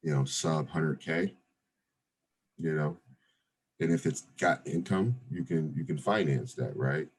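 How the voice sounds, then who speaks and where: A man around forty with a deep voice, talking casually as a guest on an interview podcast, with many fillers and restarts. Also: measured pace, pauses often, husky. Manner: laid-back, many fillers and restarts, says "brother" and "right?". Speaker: real estate investor guest.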